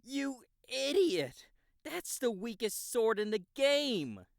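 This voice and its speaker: A man's voice: very high voice, big pitch swings, slow, gravelly, no echo, clean studio sound, heavily acted. A man playing a cocky, laid-back young gamer guide in an audiobook, casual, slangy and teasing. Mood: exasperated. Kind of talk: audiobook character dialogue in a game-world story.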